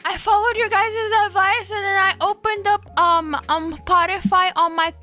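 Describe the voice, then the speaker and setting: Giggly high-voiced young woman streamer. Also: fairly even intonation, normal speed, bright, forceful tone, faint music behind. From Florida. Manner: giggly, bubbly, self-deprecating, easily distracted. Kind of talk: casual live-stream chat with viewers.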